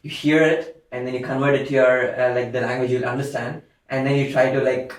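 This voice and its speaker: Indian accent guy, mid-pitch, pauses a lot hunting for words, super echoey room, far from the mic. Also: flat delivery. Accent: Indian English. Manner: searches for words, halting with fillers. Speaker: guest. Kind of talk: casual panel chat.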